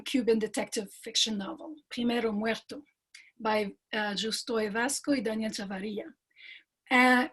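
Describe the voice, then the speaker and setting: Husky woman from montreal, giving an academic talk, lots of ums and restarts, on a video call. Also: fairly high pitch, low-quality recording. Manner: measured, with frequent fillers and restarts. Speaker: doctoral fellow presenting research.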